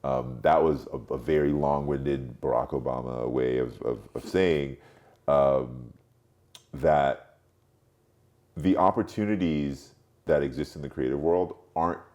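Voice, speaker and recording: A man in his late forties who grew up in Europe, speaking reflectively as an interview guest, haltingly, thinking aloud and repeating words. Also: a bass voice, fairly even intonation, darkish tone. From Europe, mostly France, born in Cameroon. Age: late 40s. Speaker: podcast guest, marketing strategist.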